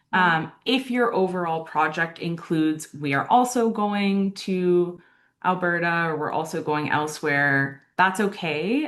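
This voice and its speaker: Low-voiced woman explaining grant rules. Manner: hesitant, many ums and restarts, reassuring. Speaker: arts council grants staff host. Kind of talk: explaining answers in an online Q&A. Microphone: video call.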